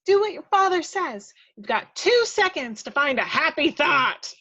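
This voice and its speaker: A woman's voice: high-pitched, sing-song, measured pace, sharp tone, no room sound, pitched above the performer's own voice, heavily acted. A woman voicing a bossy, feisty little fairy in an amateur script table read. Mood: commanding. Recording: dull lo-fi, dry microphone.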